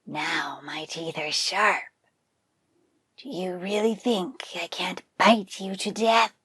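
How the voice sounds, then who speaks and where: A woman voicing a sly, hungry, boastful tiger in a folktale, with a whispery, growling, drawn-out delivery. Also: lowish voice, sharp tone, breathy edge, dry recording, exaggerated voice. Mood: menacing.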